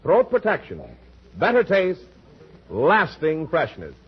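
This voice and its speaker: An American man delivering a 1940s radio advertising pitch as a commercial announcer. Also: wildly swooping pitch, slow pace, slightly rough. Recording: muffled 1940s radio broadcast recording.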